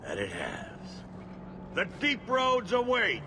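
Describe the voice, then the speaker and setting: An actor playing an impatient, crude and bombastic dwarf expedition leader in a video game, blustering and barking orders. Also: male voice, sits high, wildly swooping pitch, slow, noisy background, heavily acted. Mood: brisk, impatient. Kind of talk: video game cutscene acting. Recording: loud music behind dialogue. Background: loud music.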